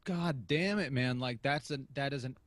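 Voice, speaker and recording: A man's voice: mid-range voice, moderate pace, fairly bright tone, no echo. A man casually discussing films as a podcast reviewer, opinionated and emphatic, with some casual swearing.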